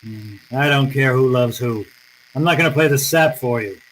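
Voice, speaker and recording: A man's voice: mid-range voice, normal speed, fairly bright tone, slight background noise, natural voice, low-quality recording. A man playing a cynical, unyielding private detective in an old-time radio drama, with a terse, tough, accusing manner. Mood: bitter, resolute.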